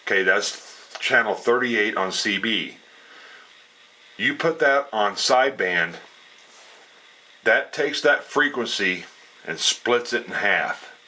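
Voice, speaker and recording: Man, deep pitch, bright hard-edged tone, informal tutorial delivery, folksy, halting phrasing. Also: expressive, low-quality recording. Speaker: radio hobbyist presenter. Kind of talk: casual unscripted explainer.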